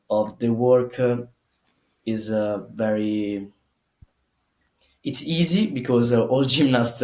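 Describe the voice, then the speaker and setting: A young man with an Italian accent answers interview questions in careful, broken English. He talks slowly and stops often to find the next word. His voice is soft and mellow, and the room around him echoes. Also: mid-range voice. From Italy. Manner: halting, many fillers and restarts. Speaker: gymnast guest.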